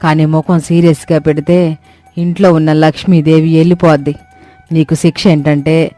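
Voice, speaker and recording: A woman's voice: deep voice, warm tone, no room sound. A woman playing a reformed, bossy village mother-in-law in a moral story, giving folksy, emphatic, good-humoured advice. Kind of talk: scripted moral story acting. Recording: music behind the voice.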